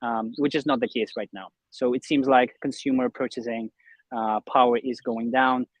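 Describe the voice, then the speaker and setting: A man's voice: mid-range voice, steady tone, moderate pace, no room sound, low-quality recording. A young man from Russia, an interview guest explaining his online business in a conversational way. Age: young adult.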